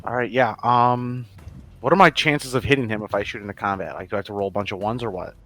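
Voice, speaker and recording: A man's voice: on the low side, fairly even intonation, fast talker, a bit bright. A man playing a tabletop roleplaying game, chatting casually with plenty of slang and swearing. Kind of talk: casual chat.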